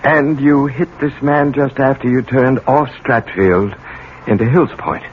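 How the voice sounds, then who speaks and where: A man's voice: medium pitch, steady tone, measured pace, natural voice. Man playing commanding protective father, middle-aged American professor, authoritative, 1970s radio drama, muffled sound with faint noise. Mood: insistent, questioning. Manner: probing, firm, authoritative. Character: protective, commanding college professor father covering for his son. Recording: muffled, noisy old radio recording.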